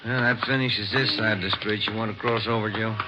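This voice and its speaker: An actor playing a tired, patient police partner who speaks in a dry, matter-of-fact way, in an old radio drama. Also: male voice, on the low side, fairly even intonation, brisk, natural voice. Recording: dull old noisy radio recording.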